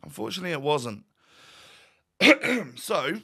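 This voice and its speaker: A man, croaky today, chatting wryly through a football game pack opening from his home room. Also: fairly high pitch, lively intonation, unhurried, fairly bright tone. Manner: chatty, wry, with fillers and restarts. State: croaky, voice going. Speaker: gaming YouTuber. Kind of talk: casual pack-opening commentary.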